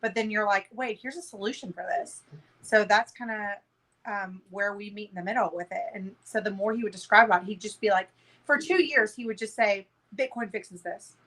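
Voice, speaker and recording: A woman's voice: mid-range voice, brisk, a bit bright, rough recording. Brash, sweary woman. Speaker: panel guest. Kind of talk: casual group chat. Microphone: video call.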